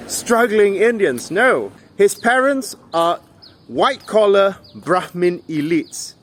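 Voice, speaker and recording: A man speaks as a political commentator in a scripted, rhetorical monologue. His voice sits slightly high and moves in lively swings of pitch. He paces his phrases slowly, setting them apart with deliberate pauses for emphasis. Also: slight background noise.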